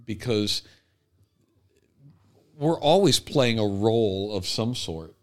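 A man co-hosting a casual, reflective discussion, feeling his way through ideas with halting, repeated phrases. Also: on the low side, expressive. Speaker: podcast co-host.

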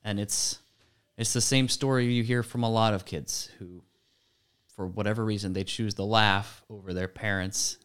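A man with a fairly low, smooth and resonant voice joins a podcast discussion. He comments casually, in an everyday conversational way. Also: fairly even intonation, normal speed, fairly bright tone. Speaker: podcast participant.

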